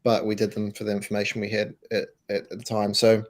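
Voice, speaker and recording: A croaky-voiced man from New Zealand, a company co-founder, talking emphatically and casually with many restarts. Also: fairly low pitch, completely flat delivery, quick pace. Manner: emphatic, repeats words, restarts. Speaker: co-founder and co-CEO, guest. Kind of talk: casual interview answers.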